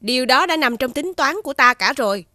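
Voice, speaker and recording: An actress playing a proud, ambitious warrior princess in an animated fairy tale, imperious and measured. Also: female voice, high-pitched, no echo, clean studio sound. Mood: imperious, calculating.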